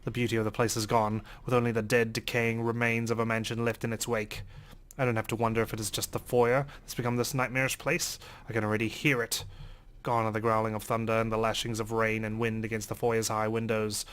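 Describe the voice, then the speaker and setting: A man's voice: fairly low pitch, flat delivery, a little fast, fairly bright tone, smooth. A man reading a visual novel aloud as a let's play host, in dramatic, theatrical first-person narration.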